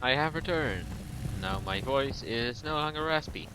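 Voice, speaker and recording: A man giving casual gameplay tutorial commentary, calmly talking through each step as he plays. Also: medium pitch, unhurried. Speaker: gaming tutorial creator. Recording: clear background noise under voice.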